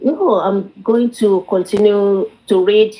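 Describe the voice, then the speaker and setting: A middle-aged Nigerian woman, a native Yoruba speaker, chatting casually in a hesitant, halting way with a Nigerian accent. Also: mid-range voice, fairly even intonation, dark tone, rough recording. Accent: Nigerian Yoruba-language. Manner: hesitant, halting, often says "you know". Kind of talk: casual chat.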